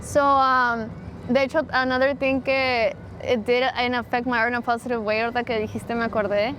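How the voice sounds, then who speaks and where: A woman's voice: a little high, level tone, a little fast, a bit bright, slight background noise, poor audio. A young woman, a mariachi singer talking casually in an interview and switching between English and Spanish mid-sentence.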